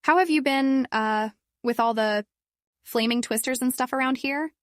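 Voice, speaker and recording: Woman doing a jittery polite imp man, a bit high-strung, storybook reading. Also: moderate pace, dry recording, clean studio sound, natural voice. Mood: nervous. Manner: nervous, polite, wordy. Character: nervous nerdy male imp husband.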